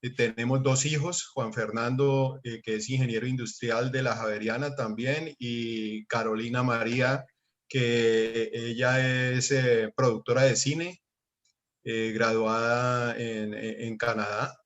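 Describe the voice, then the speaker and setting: A man's voice: mid-range voice, steady tone, slow pace, a bit bright. A man in his sixties introducing his family plainly on a video call. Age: 60s. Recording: dull lo-fi call audio.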